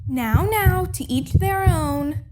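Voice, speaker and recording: Very high pitch, measured slow pace, woman playing practical, slightly impatient police officer, radio drama. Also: darkish tone, soft background music. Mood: impatient, mediating. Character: matter-of-fact police officer, mildly impatient peacemaker. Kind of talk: radio play acting.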